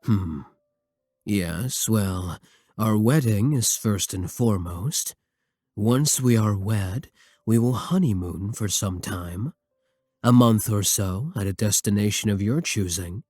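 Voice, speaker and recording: A devoted vampire king, acted by a man, low and husky, speaks with formal courtesy and intimate tenderness. His delivery is slow and measured, recorded dry and close for romantic ASMR roleplay. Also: a little mellow, no room sound. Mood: tender, reassuring.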